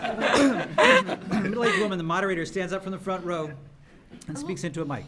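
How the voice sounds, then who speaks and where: A man's voice: high-pitched, very animated, normal speed, a loud crowd. A man reading out the stage directions of a script at a table read. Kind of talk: scripted narration, read aloud. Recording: light background noise, live room.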